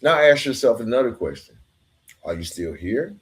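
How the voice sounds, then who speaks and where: A man hosting a podcast, delivering a scripted motivational monologue in a deliberate, emphatic way, speaking straight to the listener. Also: mid-range voice, very animated. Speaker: podcast host.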